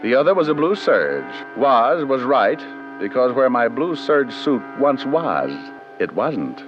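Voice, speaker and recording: Wisecracking American radio detective, animated delivery. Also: male voice, mid-range voice, unhurried. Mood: wry narration. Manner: wry, sardonic, flippant. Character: wisecracking, cocky male private detective narrating his case. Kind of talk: 1940s-50s radio detective acting and narration. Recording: muffled vintage radio broadcast with music.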